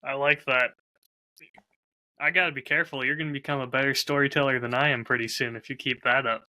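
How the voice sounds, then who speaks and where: A man's voice: mid-range voice, fairly even intonation, fast, sharp tone. A man chatting casually as a podcast co-host, with a wry, self-deprecating way of talking. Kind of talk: casual chat.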